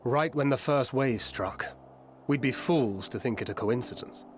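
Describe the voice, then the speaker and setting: A man's voice: medium pitch, measured pace, a little hiss or hum, lightly acted. A man voicing a shrewd, serious adventurer in a fantasy game, reasoning in an analytical way. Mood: serious, concerned. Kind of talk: scripted fantasy game acting.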